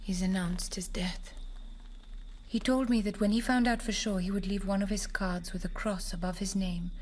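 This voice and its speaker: A woman's voice: mid-range voice, fairly even intonation, moderate pace, slight background noise, lightly acted. An actress playing an anguished, secretive wife and mother, resigned to sacrifice, in a radio drama. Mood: grave, subdued. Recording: prominent music under the voice.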